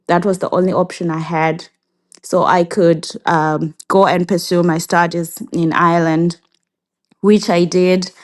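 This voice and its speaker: Woman, 30s, from Zimbabwe; low, slow, reflective interview guest. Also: a little mellow. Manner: reflective, many filler pauses and restarts. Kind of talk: casual interview answers.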